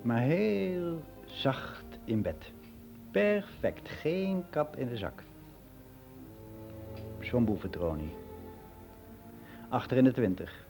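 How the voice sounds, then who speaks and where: A man's voice: mid-range voice, very animated, slow pace, vocal fry, pauses often, darkish tone, soft background music, heavily acted. An actor playing a world-weary middle-aged man who rambles in a frank, confiding way in a radio play monologue. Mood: chatty, rambling. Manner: rambling, confiding, matter-of-fact.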